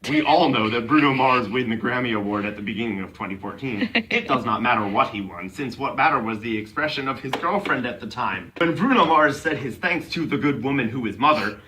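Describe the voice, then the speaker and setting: A man's voice: mid-range voice, a little fast, few pauses, bright, forceful tone, far from the mic, a little hiss or hum, rough recording. A man giving a mock-serious dramatic reading of clumsily written text for comedy. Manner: tends to break into giggles.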